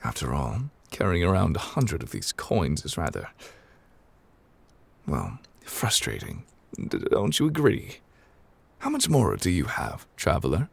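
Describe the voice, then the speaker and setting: A man playing an ancient, courteous, absent-minded god in an ASMR roleplay, speaking formally in a low, gravelly voice with hesitant pauses. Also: wildly swooping pitch, dry recording, full character voice. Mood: polite, puzzled. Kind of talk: ASMR audio roleplay, improvised in-character monologue. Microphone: close, dry microphone.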